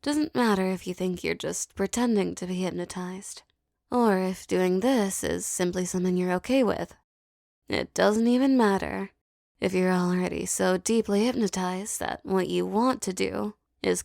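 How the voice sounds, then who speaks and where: A woman performing a scripted hypnosis roleplay, speaking softly and commandingly with a teasing edge. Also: mid-range voice, gravelly, no room sound, clean studio sound. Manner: slow, soft, commanding and teasing.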